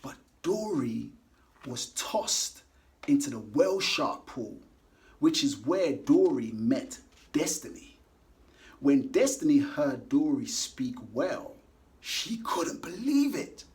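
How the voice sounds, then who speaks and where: A man reading a picture book aloud to children, animated and coaxing, stopping to ask the kids questions. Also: medium pitch, takes it slowly. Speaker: children's story reader.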